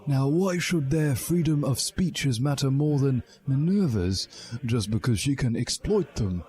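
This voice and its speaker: A man's voice: medium pitch, animated, a little slow, darkish tone, voice pushed up, close to an everyday voice. A man voicing a smug, oily old wizard headmaster in a comic story reading. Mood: sly, taunting. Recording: music behind the voice.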